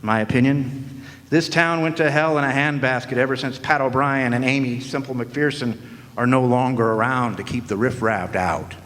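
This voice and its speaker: A man's voice: medium pitch, fairly even intonation, normal speed, background noise. Wry hard-boiled male noir narrator. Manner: wry, sardonic. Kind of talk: 1940s noir detective narration in a live radio play. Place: historic club hall, live audience performance. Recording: long echoing hall reverb.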